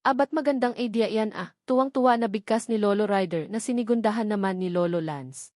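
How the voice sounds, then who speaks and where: A woman's voice: mid-range voice, brisk, darkish tone, no room sound, studio quality. A woman with a Filipino accent narrating a first-person romance novel as a scripted story. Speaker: audiobook narrator.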